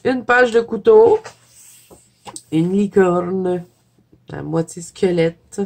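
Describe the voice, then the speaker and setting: A woman with a Québécois French accent, stuffy from a cold, chatting casually as a coloring vlogger, filling pauses with "euh" and soft giggles. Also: mid-range voice, creaky. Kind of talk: casual chat flipping through a coloring book.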